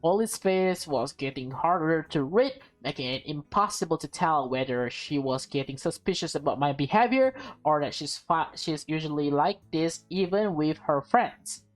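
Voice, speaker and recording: A woman's voice: deep voice, lively intonation, moderate pace, hardly any pauses. A woman reading a visual novel aloud with casual reactions, stumbling over lines and rereading them. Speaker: game player and streamer.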